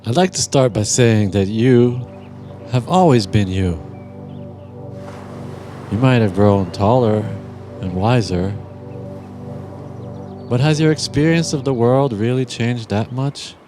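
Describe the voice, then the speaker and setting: A man narrating a guided meditation in a gentle, soothing, deliberate manner with long pauses. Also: mid-range voice. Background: music.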